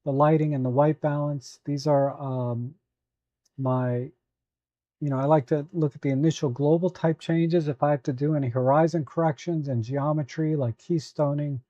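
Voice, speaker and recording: A hoarse man hosting a live photo editing tutorial, talking at an easy, halting pace with many fillers while answering viewers. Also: on the high side, steady tone, dark tone, dry recording, lo-fi sound. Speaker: photo editing livestream host.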